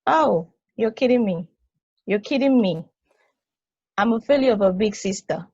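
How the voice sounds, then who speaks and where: A woman's voice: medium pitch, lively intonation, measured pace, dark tone, breathy edge, no room sound. Woman playing blunt big-sister space probe, muffled mic. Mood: teasing, exasperated. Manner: casual, blunt, teasing. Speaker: actor in a table read. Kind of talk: play table read, conversational acting.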